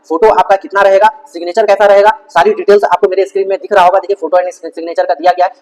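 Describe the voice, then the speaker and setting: A man hosting an informational video, explaining a notice step by step in an instructional manner. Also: sits high. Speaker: YouTube host explaining government job vacancies. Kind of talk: informational video narration, walking through a notice on screen. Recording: echoey hall-like reverb.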